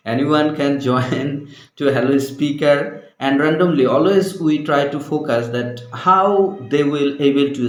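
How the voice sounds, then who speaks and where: Bangladeshi man, language trainer, slow deliberate delivery with many hesitations, echoey room. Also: fairly high pitch. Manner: halting, many fillers. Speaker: English trainer. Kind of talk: unscripted conversation.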